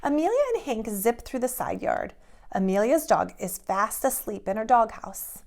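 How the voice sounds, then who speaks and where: A woman's voice: on the high side, lively intonation, moderate pace, gravelly. A woman preschool teacher speaking to young children in simple, guiding phrases, as in a read-aloud or lesson. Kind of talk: expressive picture-book read-aloud and children's drawing lesson.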